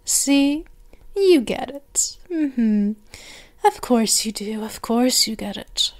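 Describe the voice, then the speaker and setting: A woman's voice: a little high, animated. A woman performing an intimate ASMR roleplay as a doting, teasing, possessive vampire mistress, cooing and sometimes slipping into whispers. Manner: slow, cooing, with whispered phrases and drawn-out words.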